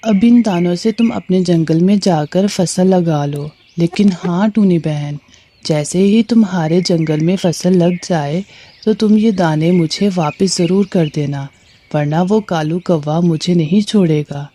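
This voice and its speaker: A woman's voice: on the low side, measured pace, warm tone. A woman playing a helpful, cautious male bird in a moral story cartoon, speaking earnestly.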